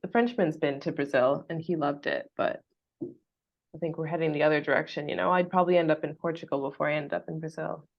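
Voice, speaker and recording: A chatty woman with a West Coast American accent hosts a casual podcast conversation, slightly lower in pitch than most women. She laughs often and fills her talk with "like." The audio is dull and lo-fi. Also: steady tone, fairly quick, gravelly. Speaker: podcast host. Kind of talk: casual chat.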